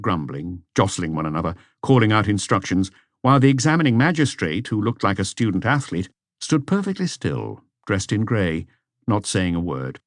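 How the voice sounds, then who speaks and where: A man's voice: sits low, no room sound, studio quality. A man reading a mystery novel as scripted audiobook narration.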